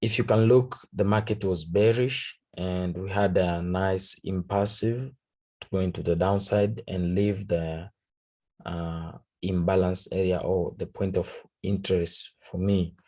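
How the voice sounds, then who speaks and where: A man with a Tanzanian accent, a native Swahili speaker, casually talking through a trade recap in halting English, searching for words. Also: deep voice, steady tone, dark tone. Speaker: forex trader. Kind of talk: casual trading recap over a chart.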